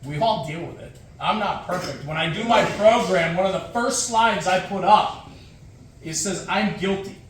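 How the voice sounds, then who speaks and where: A man's fairly high voice rings around a very echoey room, picked up well away from the microphone. He delivers a motivational talk emphatically, hammering short phrases and repeating them for weight. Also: normal speed. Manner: emphatic, punchy short phrases with rhetorical questions and repetition. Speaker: guest speaker, firefighter. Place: echoey indoor room, speaking to an audience. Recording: echoey room, distant mic, faint noise.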